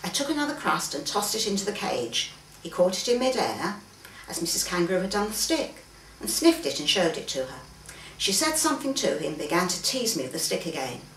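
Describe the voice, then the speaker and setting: Distant, lively woman reading a story aloud. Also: fairly low pitch, brisk. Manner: acts out the dialogue, with occasional stumbles. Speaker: author reading own fiction aloud. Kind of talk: expressive story reading from a manuscript. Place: room in a private home, live reading event. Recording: roomy, distant mic, faint background noise.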